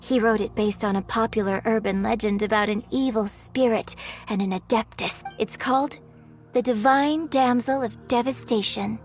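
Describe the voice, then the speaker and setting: An actress voicing a polite, composed young opera singer in a video game, speaking formally. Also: female voice, on the high side, moderate pace, soft background music, close to an everyday voice. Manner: formal, courteous.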